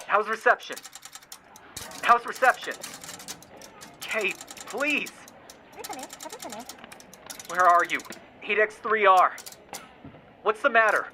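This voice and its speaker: A woman's voice: medium pitch, expressive, slow pace, long pauses, pushed and bright, a little hiss or hum. Woman acting a desperate, piercing lone radio operator. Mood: desperate, pleading. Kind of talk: audio drama acting, radio calls.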